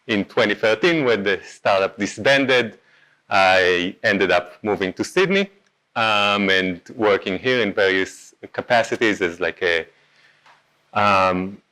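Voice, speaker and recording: A man's voice: lowish voice, sharp tone, low-quality recording. A man in his thirties with an Israeli accent, giving a casual talk at a meetup, with many ums and self-corrections. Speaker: meetup speaker. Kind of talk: conference talk.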